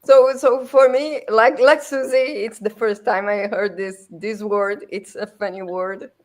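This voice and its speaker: A woman's voice: medium pitch, fairly even intonation, measured pace. Brazilian woman chatting playfully. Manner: hesitant phrasing, playful. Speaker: podcast guest. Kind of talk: casual chat.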